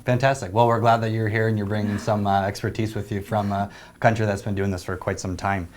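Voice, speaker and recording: A man's voice: on the low side, level tone, fast. Man hosting with friendly prompts. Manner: friendly prompting with frequent uh fillers. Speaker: host and network coordinator. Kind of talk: interview hosting.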